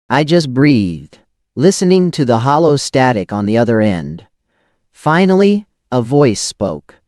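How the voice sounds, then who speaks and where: A man's voice: medium pitch, darkish tone, no echo, clean studio sound. A man reading a first-person scripted thriller as an audio drama narrator, in tense, dramatic, clipped phrases.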